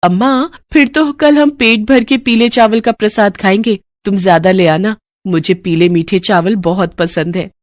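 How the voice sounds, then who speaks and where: A woman's voice: medium pitch, moderate pace, few pauses, no echo, clean studio sound, natural voice. A woman voicing a young, complaining daughter-in-law who is pushy and greedy, in a family cartoon. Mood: eager.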